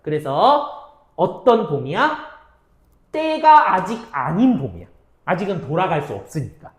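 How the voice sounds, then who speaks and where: A woman's voice: on the low side, sing-song, a little slow. A woman teaching exam-prep literature, firing off questions and answering them herself, mixing casual and polite speech. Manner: mixes casual and polite speech, checks understanding often. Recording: roomy echo.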